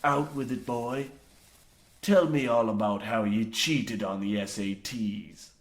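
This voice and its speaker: A man playing a gruff old coal mine foreman in an old-time radio comedy, blasé and folksy in archaic dialect. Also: lowish voice, takes it slowly. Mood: grim, folksy. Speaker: radio play actor.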